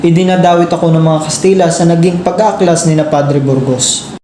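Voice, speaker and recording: A man's voice: a little high, fairly quick, natural voice. A man playing a protective, earnest young elder brother in an amateur Filipino radio drama. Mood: serious. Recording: roomy sound with faint noise.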